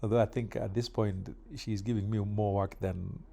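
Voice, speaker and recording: Gravelly, dark-voiced Kenyan man vlogging. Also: on the low side. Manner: relaxed, chatty, frequent filler pauses. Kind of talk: casual yard-work vlog narration. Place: outdoors in a yard.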